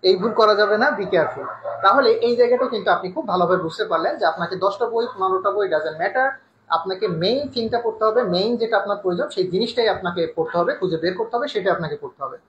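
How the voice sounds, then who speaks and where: Casting: Bangladeshi admission coach, rapid, emphatic delivery with rhetorical questions and English phrases, dull roomy recording. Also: medium pitch, animated, slight background noise. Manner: mixes in English words and phrases. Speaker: nursing admission coaching teacher. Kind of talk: informal advice lecture to prospective students. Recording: echoey room, dull muffled sound.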